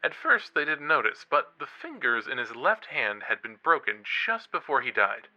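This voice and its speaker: An actor playing an eager young college true-crime podcaster in a horror audio drama, a teasing, suspenseful storyteller. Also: male voice, mid-range voice, very animated, normal speed, highs cut off. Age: young adult.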